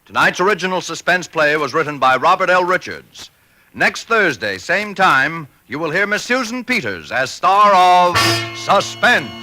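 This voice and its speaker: A man's voice: sits high, big pitch swings, a little slow, pushed and bright, faint music behind. An American man announcing a 1940s radio program in a dramatic, scripted style.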